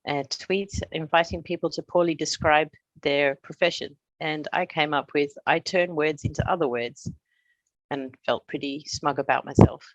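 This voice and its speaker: A middle-aged woman from Australia, a translator, giving a prepared presentation in a measured, explanatory way with touches of humor. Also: sits low, no echo, rough recording.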